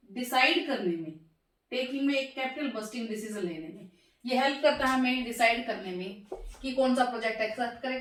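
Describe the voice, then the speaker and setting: A hoarse woman teaching finance in a classroom-style lecture, asking questions and answering them herself and slowing down over English terms. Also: a little high, distant mic. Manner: slows down to spell out English terms. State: hoarse, sore throat. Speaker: economics teacher. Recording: echoey room, voice from off mic.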